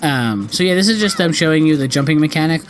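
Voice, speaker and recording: A man's voice: fairly high pitch, lively intonation, fast talker, faint music behind. A young man giving casual let's play commentary, rambling and often correcting himself as host. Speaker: gaming video host.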